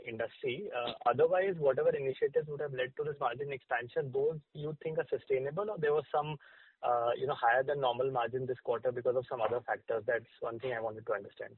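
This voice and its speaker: Husky man on a phone line. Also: mid-range voice, dry recording. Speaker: financial analyst asking questions. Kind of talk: earnings call questioning. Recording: band-limited phone line.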